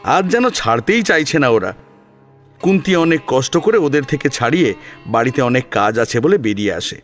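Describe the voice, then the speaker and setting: A man reading a scripted story as an expressive audio narrator. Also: mid-range voice, normal speed. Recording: music behind the voice. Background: instrumental music with plucked strings.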